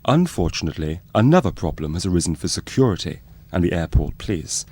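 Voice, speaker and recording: A male narrator with a low, mellow voice. He reads scripted docu-soap links with lively rises and falls. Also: quick pace, slightly rough.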